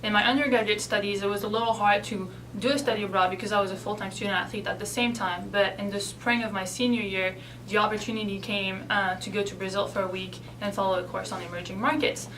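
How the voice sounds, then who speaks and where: A woman in her twenties, originally from Belgium, answering interview questions in a relaxed, chatty way with some hesitation. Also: mid-range voice, quick pace, hardly any pauses, recorded from a distance, a little hiss or hum, rough recording. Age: 20s. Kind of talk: casual interview.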